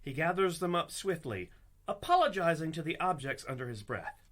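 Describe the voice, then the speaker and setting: A man's voice: fairly high pitch, big pitch swings, normal speed, a bit bright. A man acting as the theatrical narrator of a fantasy comedy radio play, reading scripted scene descriptions. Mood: wry storytelling.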